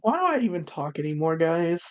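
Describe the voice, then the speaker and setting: A woman's voice: deep voice, very animated, brisk, muffled recording. A woman playing a tabletop game, chatting casually in a slangy, blunt way.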